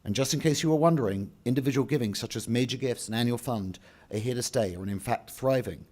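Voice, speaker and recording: A man's voice: medium pitch, fast talker, a little mellow. A man delivers a prepared speech from a podium at a banquet, reading steadily and adding the odd offhand aside. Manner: reads a script with occasional asides and restarts. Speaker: event speaker and emcee. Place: large banquet hall.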